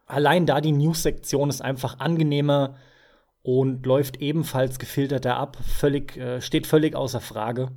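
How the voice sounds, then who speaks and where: A man's voice: medium pitch, fairly even intonation, moderate pace, a little mellow. A man co-hosting a podcast, giving strong, emphatic opinions in a casual, colloquial way. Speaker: podcast co-host.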